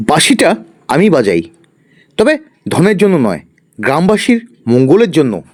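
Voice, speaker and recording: Guy voicing sensible village lad in a cartoon, earnest, lively pitch, husky, music under. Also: a little slow. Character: honest, sensible young village hero. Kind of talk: fairy-tale cartoon acting. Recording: music behind.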